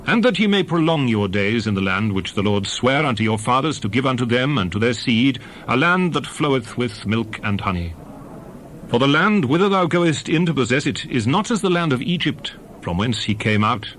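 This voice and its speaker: A solemn, commanding male prophet played by a man addresses his people in a scripture drama. His mid-pitched voice rises and falls widely as he lands each phrase with oratorical emphasis. Noticeable background noise sits under the recording. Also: fairly bright tone.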